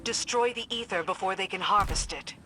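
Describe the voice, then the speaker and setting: An actress playing a hardened, duty-bound military commander in a video game, with a firm, no-nonsense manner. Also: female voice, medium pitch, a little fast, background noise, lightly acted. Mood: stern, weary. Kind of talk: scripted video game dialogue.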